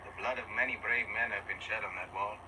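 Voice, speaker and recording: An actor playing a solemn, honor-bound warrior in a film, speaking gravely and formally. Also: male voice, on the low side, fairly even intonation, quick pace, close to an everyday voice. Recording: distant, noisy film playback.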